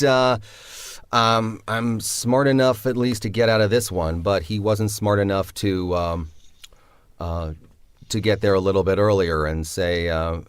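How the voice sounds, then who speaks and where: A man with a very low voice answers interview questions about his own writing. He speaks reflectively and often hesitates, and the sound is dry and close to the mic. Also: clear, resonant tone, dry recording. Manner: reflective, hesitant, many fillers. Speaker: writer of the drama.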